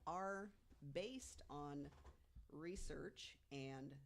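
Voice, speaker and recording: A woman's voice: low voice, drawn-out words, a little hiss or hum. A woman speaking casually as a podcast guest, explaining things in a good-humored storytelling way. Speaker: guest panelist.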